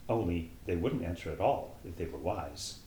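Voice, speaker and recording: A man's voice: low-pitched, animated, normal speed, warm tone, reverberant, slight background noise. A man reading aloud as a curious young girl who rambles and muses to herself, timid when asking questions. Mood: musing.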